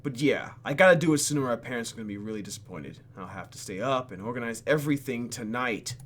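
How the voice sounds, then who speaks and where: Guy doing a let's play, sarcastic asides while reading, big swings in pitch, bit of hiss. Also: quick pace. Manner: animated, sarcastic, exclaims and mutters. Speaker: YouTube gaming host. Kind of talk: let's play, reading game text aloud with joking asides.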